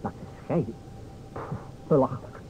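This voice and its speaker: An actor playing a sceptical, playfully argumentative police inspector in a Dutch radio play, wry and declamatory. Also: male voice, normal speed, dark tone, lightly acted. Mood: indignant. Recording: dull old radio recording, faint noise.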